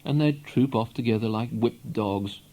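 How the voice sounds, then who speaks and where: Mellow male storyteller reading literary fiction. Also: mid-range voice, normal speed, rough recording. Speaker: audiobook reader. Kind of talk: literary narration, read aloud.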